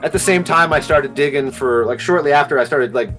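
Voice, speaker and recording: A man's voice: medium pitch, fairly quick, fairly bright tone, faint music behind. A man, chatting casually and slangily as an interview guest, often saying "like". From Halifax, Canada. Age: 40s. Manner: slangy, frequent 'like' and 'you know what I mean'. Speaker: guest, hip hop producer and DJ.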